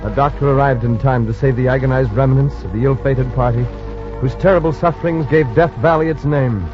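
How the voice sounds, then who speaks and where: A dull old radio recording with faint music behind it carries an American man's narration. He is grand and declamatory, reading a script in 1940s fashion with a slightly high pitch.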